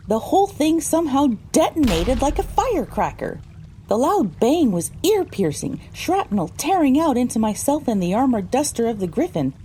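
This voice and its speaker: A woman's voice: fairly high pitch, mellow, slight background noise. A woman voicing a pony who narrates her own story, reading scripted first-person audio drama narration.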